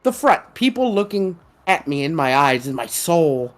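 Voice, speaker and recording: A young man co-hosting a podcast in casual chat, with a high-pitched voice that gets mistaken for a woman's, laughing often. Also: big pitch swings, soft background music. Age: young adult. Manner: laughs often, slow and drawn-out. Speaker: podcast co-host.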